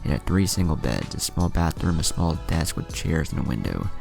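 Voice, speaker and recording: A man's voice: very deep voice, sing-song, normal speed, vocal fry, over music. A man narrating a fan fiction story, reading prose and dialogue as a storyteller.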